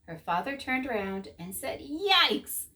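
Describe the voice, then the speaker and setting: A woman's voice: mid-range voice, big pitch swings, measured pace. A woman narrating a children's story expressively, with rhythmic, dramatic emphasis.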